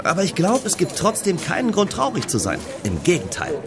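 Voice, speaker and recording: An actor playing a friendly, upbeat music video director in a German children's audio drama, chummy and eager. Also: male voice, sits high, huge pitch swings, brisk, exaggerated voice.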